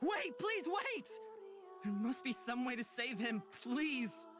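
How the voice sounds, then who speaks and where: A woman's voice: high voice, very animated, takes it slowly, heavily acted. A woman playing an earnest, devoted young companion in a visual novel, desperate and dramatic in her pleading. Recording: loud music behind the voice.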